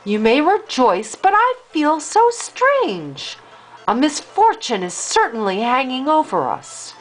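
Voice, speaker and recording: A woman's voice: high voice, wildly swooping pitch, takes it slowly, exaggerated voice, low-quality recording. A woman reading the part of an anxious youngest princess in a storybook, uneasy and full of foreboding.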